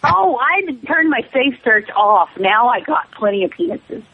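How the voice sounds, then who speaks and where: A woman in her late twenties with a husky, fairly high voice trades playful, teasing remarks on a casual radio talk show. She comes through a tinny, phone-like line. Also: expressive, moderate pace. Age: 20s.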